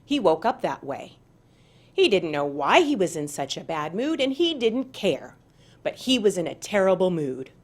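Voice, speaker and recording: A woman reading aloud to children as a storyteller, with deliberate, dramatic emphasis. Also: fairly low pitch, sing-song. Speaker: parent and school board member reading aloud.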